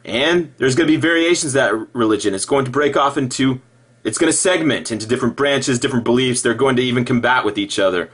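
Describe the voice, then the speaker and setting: A man delivering an improvised sarcastic rant in an exasperated, mocking way, halting and repeating himself, with profanity. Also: mid-range voice, a bit bright, slightly rough. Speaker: video commentator.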